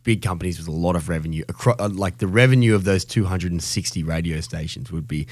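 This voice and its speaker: A deep-voiced Australian man speaks as a radio co-host. He argues his opinions bluntly and colloquially, leaning on his points with emphasis. His voice sits close and dry on the studio microphone. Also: fast, no echo. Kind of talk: opinionated conversational radio discussion.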